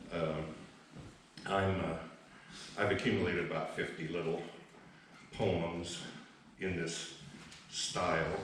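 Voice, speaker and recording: A man talking informally to an audience as a poet, hesitant and halting, with a self-deprecating way. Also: deep voice, a bit bright. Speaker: amateur poet introducing his work. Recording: echoey room, far mic, background noise.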